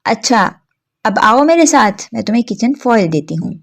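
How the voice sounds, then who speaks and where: A woman voicing a bemused older girl, briskly humouring a little boy in a children's story. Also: a little high, animated. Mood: bemused, surprised.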